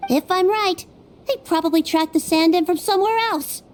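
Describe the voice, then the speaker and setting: A woman voicing a gentle, analytical non-human detective who reasons aloud, in video game dialogue. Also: extremely high pitch, normal speed. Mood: thoughtful. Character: young female Melusine detective, analytical, gentle. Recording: game audio with soft music.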